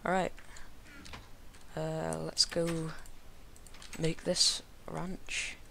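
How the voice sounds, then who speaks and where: A man narrating his own gameplay in a casual, mumbling way, sounding croaky from a cold and talking to the animals on screen. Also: a little high, completely flat delivery, bright, forceful tone, slight background noise. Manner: slow, mumbling, talks to the animals. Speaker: gaming video creator.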